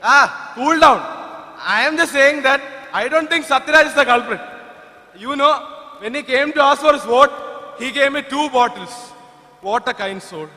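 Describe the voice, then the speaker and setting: A man acts an easygoing, teasing tea seller with an Indian accent in a stage play. He has a very high, bright voice, heard with the long reverb of a hall. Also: normal speed, long pauses. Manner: teasing, dismissive.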